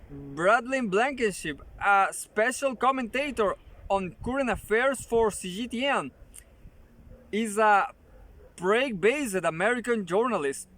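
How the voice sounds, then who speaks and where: A man hosting his own channel, casually reading an article aloud with halting restarts and joking asides. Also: high-pitched, fairly bright tone.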